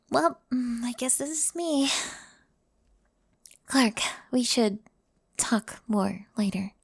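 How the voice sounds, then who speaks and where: Woman as a timid, high-voiced delivery worker. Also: lively intonation, extremely slow, gravelly, long pauses, slightly rough, no room sound, studio quality, exaggerated voice. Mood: shy, awkward. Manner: hesitant, sighing. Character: timid, flustered young food-delivery worker. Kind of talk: scripted roleplay audio drama.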